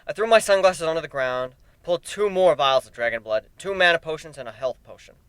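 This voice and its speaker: A man's voice: fairly high pitch, expressive, fairly quick, a bit bright, clean tone, no room sound. A man reading a first-person fantasy story aloud as an amateur dramatic narrator. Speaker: amateur fan fiction reader. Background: background music.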